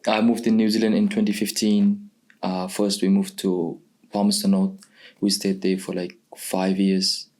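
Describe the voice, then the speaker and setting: A man's voice: deep voice, completely flat delivery, measured pace, darkish tone. A man in his twenties from Pakistan, a non-native English speaker, telling his own story casually. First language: not English. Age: 20s. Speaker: youth programme participant. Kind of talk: casual personal testimony.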